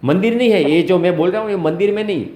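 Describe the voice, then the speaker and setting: A man's voice: high voice, fast talker, darkish tone. A man with a Gujarati accent explains step by step in a phone conversation, using everyday examples. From Gujarat, India. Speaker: host, activist caller. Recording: roomy, echoey call recording.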